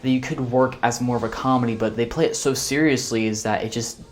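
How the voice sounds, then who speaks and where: A man's voice: mid-range voice, steady tone, quick pace. A man giving casual, opinionated movie commentary as a video host, in an informal, conversational and emphatic way.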